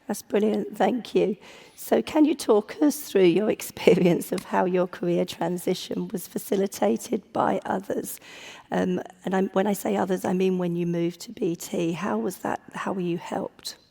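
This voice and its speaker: A woman with a croaky voice moderating a conference panel, polite and clearing her throat often. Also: mid-range voice, moderate pace, dark tone. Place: conference stage before an audience. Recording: long hall reverb.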